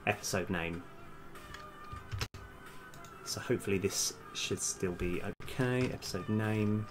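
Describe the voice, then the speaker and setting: A man live-streaming as a game developer, casually thinking aloud in halting mutters as he works. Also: deep voice. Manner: halting, muttering to self while working. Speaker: game developer livestream host. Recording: music behind the voice. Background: music, computer keyboard typing.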